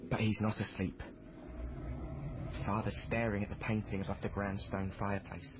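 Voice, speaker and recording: A man's voice: lowish voice, level tone, moderate pace, dull audio, background noise, close to an everyday voice. An actor playing a polite, earnest young man who narrates his own story in a period radio drama, confiding and courteous. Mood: reflective.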